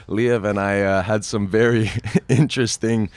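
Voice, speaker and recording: Deep-voiced male actor guest. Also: smooth, no echo. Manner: animated storyteller, quick asides. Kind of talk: casual interview chat.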